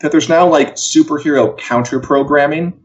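A man's voice: fairly high pitch, moderate pace. A man co-hosting a casual pop culture news chat in a wry, jokey, chatty way, over a video call. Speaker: co-host of a pop culture live show. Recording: dull, lo-fi sound.

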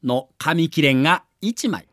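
A man's voice: on the high side, lively intonation, slow, noisy background. A man reading a scripted documentary narration with a theatrical storyteller's delivery.

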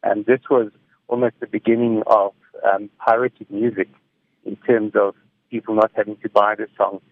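A middle-aged man gives measured, explanatory answers in a reflective radio interview over the phone. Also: lowish voice, level tone, no echo. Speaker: interviewed music technology commentator. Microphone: phone call. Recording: tinny, narrow phone line.

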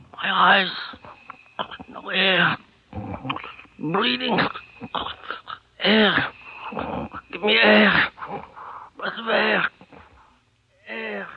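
A woman's voice: medium pitch, gravelly, slightly rough, heavily acted. An actress playing a frantic trapped woman gasping and crying for air in a 1940s radio horror drama. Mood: agonized, gasping. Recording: muffled vintage 1940s radio recording.

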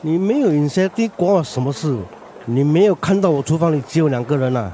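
An actor playing an irritable, overworked food stall boss with a Singaporean accent, curt and dismissive in a radio drama. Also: male voice, a little high, animated, a little fast, warm tone, slight background noise, natural voice. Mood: irritated, curt.

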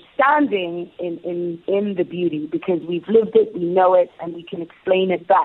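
A woman's voice: lowish voice, moderate pace, husky. A British-raised woman speaking as an interview guest, reflective and thoughtful, often restarting and repeating words as she thinks. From United Kingdom. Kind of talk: casual interview talk. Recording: phone-like narrow sound.

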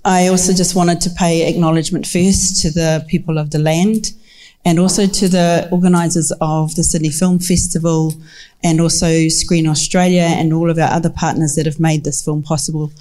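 Co-moderator: New Zealand woman, relaxed warm timbre, lower pitch, live welcome speech. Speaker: co-moderator, film commission representative. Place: live festival talk, handheld microphone.

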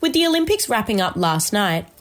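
A woman's voice: mid-range voice, fairly quick. A woman presenting the sport segment of a news bulletin, introducing reports. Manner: lively scripted delivery.

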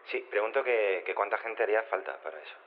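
An actor playing an awkward, hesitant scientist who rambles and repeats himself earnestly in an audio drama. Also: male voice, on the low side, expressive, fast talker, lightly acted. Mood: nervous, earnest. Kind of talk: naturalistic audio drama acting. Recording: muffled narrow-band radio-like sound.

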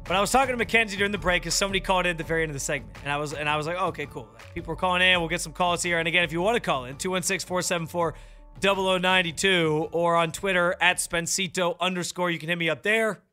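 Loud, bright-voiced sports radio host. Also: male voice, high voice, fairly even intonation, racing, faint music behind. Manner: loud, projecting, fired-up delivery. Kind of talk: sports talk radio monologue. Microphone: studio microphone.

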